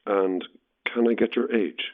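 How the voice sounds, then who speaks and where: An actor playing a probing, awkwardly blunt Irish newspaper journalist, heard through a telephone filter in an audio drama. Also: male voice, on the low side, steady tone, a little slow. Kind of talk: naturalistic audio horror drama. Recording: thin, narrow telephone line.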